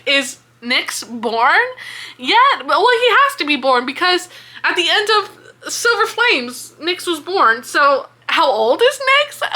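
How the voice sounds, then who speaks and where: An American woman chatting casually as a book vlogger, excitable, dramatic and freely swearing. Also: sits high. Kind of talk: casual reading reaction chat.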